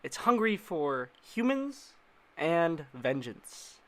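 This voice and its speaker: Higher-pitched man giving casual slangy commentary. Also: very animated, takes it slowly. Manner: informal, slangy, playful asides. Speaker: video narrator.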